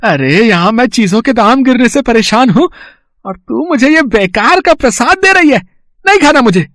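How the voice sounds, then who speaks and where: High-pitched melodramatic merchant husband, acted by a man. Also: animated, moderate pace, fairly bright tone, no echo, studio quality. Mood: irritated. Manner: melodramatic, emphatic. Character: excitable greedy merchant husband, prone to despair.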